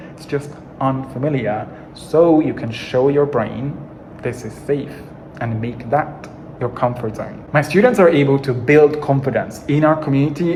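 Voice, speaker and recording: A man's voice: medium pitch, darkish tone, slight background noise, rough recording. A young adult man from Hungary with a Hungarian accent, speaking English as a second language, giving an earnest, encouraging teaching monologue as an English coach.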